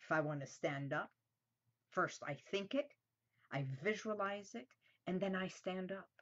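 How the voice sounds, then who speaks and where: A woman in her fifties, born in Iran and a native Armenian speaker, speaks slowly into a webcam in a small room at home. Her voice sits low and her phrases fade to a hush at the ends. The sound is a little dull and lo-fi. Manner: soft and slow, trails off at phrase ends. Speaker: host. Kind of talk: reflective talk to camera.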